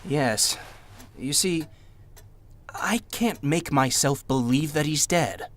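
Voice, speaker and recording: A man's voice: a little high, animated, takes it slowly, fairly bright tone. Man playing an anxious, dejected businessman. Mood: doubtful. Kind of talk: old-time radio drama acting.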